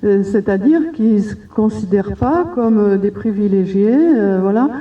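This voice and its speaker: A woman on a conference panel giving a calm answer, with frequent hesitation fillers. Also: mid-range voice, normal speed. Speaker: panel speaker. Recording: distant dull hall audio.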